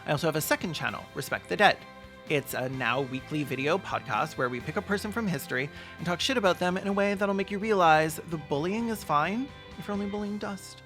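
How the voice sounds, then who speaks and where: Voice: medium pitch, a little fast, soft background music. Sarcastic video host with a mid-range voice. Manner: mock-serious, deadpan joking. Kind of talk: sarcastic comedic commentary.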